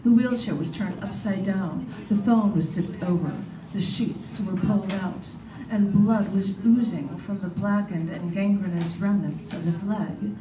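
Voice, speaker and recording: Female author at a live bookstore reading, deliberate pacing with pauses, distant mic, clearly noisy background. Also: mid-range voice, roomy sound. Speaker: writer reading own prose and poems. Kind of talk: live literary reading of prose and poetry. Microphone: camera mic at a distance.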